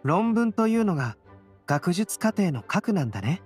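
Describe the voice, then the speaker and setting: A woman's voice: fairly low pitch, normal speed, faint music behind. Serene, lilting young male intellectual. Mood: calm, curious. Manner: calm, reflective, polite. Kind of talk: Japanese anime game voice acting.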